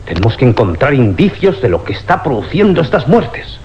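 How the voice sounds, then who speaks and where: An actor playing a stern, impatient police commissioner with a brusque, commanding manner in a radio drama. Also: male voice, mid-range voice, sing-song, fairly quick, a little mellow. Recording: dull radio recording, clear background noise.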